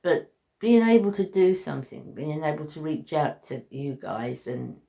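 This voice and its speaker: A woman's voice: low-pitched, lively intonation, moderate pace, creaky, warm tone. Chatty woman in her sixties. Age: 60s. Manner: earnest, chatty, frequent ums and restarts. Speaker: beauty vlogger. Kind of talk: heartfelt casual vlog chat. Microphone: basic camera mic.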